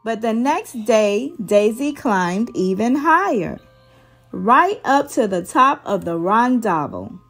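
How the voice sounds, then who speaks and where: Give it a woman storyteller with a high, very animated voice, reading for small children. She takes her time, very slowly and expressively, in a singsong way. Soft music plays behind her. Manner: slow, expressive, singsong delivery. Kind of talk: read-aloud storytelling for young children. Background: background music.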